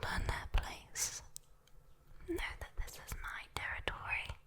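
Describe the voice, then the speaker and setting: A woman with a British accent voicing a sweet, teasing, possessive demon girl in a soft, intimate whispered ASMR roleplay. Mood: possessive, coaxing. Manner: slow, coaxing, intimate, playful. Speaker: ASMR voice performer.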